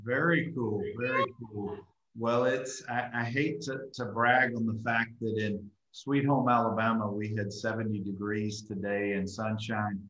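A man's voice: fairly low pitch, fairly even intonation, a little slow, fairly bright tone, reverberant, lo-fi sound, slight background noise. A middle-aged man, hosting a friendly interview over a video call, often repeating words as he starts a phrase. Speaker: host. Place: home room with school mascot wall.

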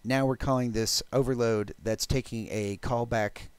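A man presenting a technical programming demo, explaining step by step in a halting, thinking-aloud way. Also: medium pitch, fairly even intonation, smooth, dry recording. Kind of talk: technical talk with live code demo.

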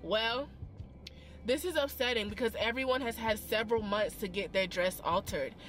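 A young woman vlogger telling a story in a parked car, expressive and dramatic, full of slang and quick asides, filmed by a camera on the dashboard. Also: on the high side, faint music behind. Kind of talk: animated storytime chat.

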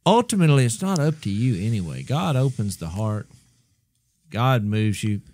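A man's voice: on the low side, big pitch swings, moderate pace, a little mellow. A middle-aged man on a podcast, talking earnestly and passionately about church, close to a studio mic in a small room. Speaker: podcast co-host. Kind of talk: casual conversation. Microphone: close studio mic with headphones.

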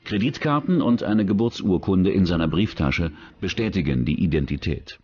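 Low-pitched male documentary narrator. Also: lively intonation, normal speed, darkish tone, slightly rough, dull audio. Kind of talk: scripted true-crime documentary narration. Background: background music.

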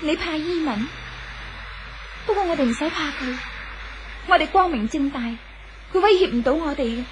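An actress playing a gentle, hopeful young woman in love in a 1970s radio drama, reassuring, with a Hong Kong Cantonese accent. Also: sits high, animated, moderate pace, pauses often, music in the background, low-quality recording. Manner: soft-hearted, reassuring.

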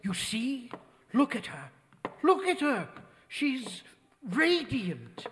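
Solemn high-pitched butler. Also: male voice, wildly swooping pitch, a bit bright, exaggerated voice. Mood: solemn, devoted. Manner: formal, deliberate. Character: solemn, formal, devoted male butler-chauffeur. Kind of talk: old-time radio drama acting. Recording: reverberant theatre stage recording.

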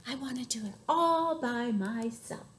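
A woman's voice: high voice, big pitch swings, normal speed, dark tone, a little hiss or hum, voice pushed up. A woman voicing a polite, independent little girl elephant in a children's story, in a simple, earnest way. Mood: determined.

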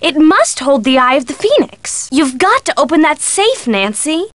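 A perky young female sidekick from a mystery video game. Her voice is very high and swings up and down with playful eagerness. It is a dry, close studio sound, chummy and helpful. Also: big pitch swings, moderate pace, a bit bright, no echo. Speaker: game character giving hints.

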